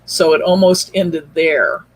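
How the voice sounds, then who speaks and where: A woman's voice: sits low, slow, a little hiss or hum, rough recording. An older woman telling personal stories casually and earnestly as a podcast guest on a video call, a little hesitant. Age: older, baby boomer. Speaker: podcast guest, show producer.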